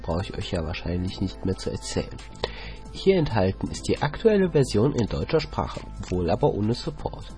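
A man reads a scripted product review and speaks to the listeners as friends. His pitch rises and falls a lot as he talks. Faint music runs underneath. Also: a little mellow. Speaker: podcast contributor.

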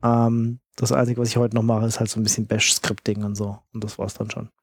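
A man's voice: fairly low pitch, flat delivery, a little fast, breathy edge, no room sound, studio quality. A man in casual podcast chat who recalls things hesitantly, with many fillers.

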